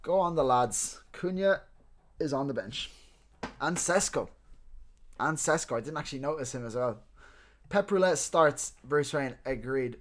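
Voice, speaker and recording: A man's voice: mid-range voice, lively intonation, measured pace, pauses often. A man with a neutral Irish accent hosting a livestream, chatting informally with viewers and swearing freely as he reacts to news. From Ireland and several countries abroad. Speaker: livestream host. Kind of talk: casual livestream chat reacting to team news.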